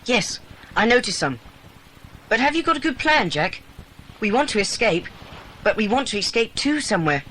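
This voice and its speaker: A woman playing a sensible, forthright child in a children's audio adventure, brisk and practical. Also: a little high, big pitch swings, a bit bright, a little hiss or hum, rough recording. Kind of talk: dramatised children's audiobook acting.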